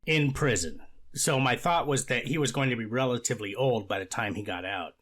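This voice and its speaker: A man playing a stern, measured judge who speaks firmly and deliberately in a courtroom transcript reading. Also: medium pitch, animated, a bit bright. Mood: firm, admonishing. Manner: deliberate, firm, authoritative. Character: stern, measured male judge presiding over sentencing.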